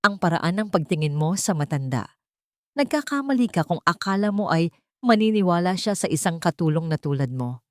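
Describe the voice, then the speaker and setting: A woman's voice: fairly low pitch, lively intonation, moderate pace, no echo, clean studio sound, natural voice. A woman voicing a cold, threatening male schemer in an audio story. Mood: cold menace. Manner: threatening, taunting. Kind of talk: audio story character dialogue.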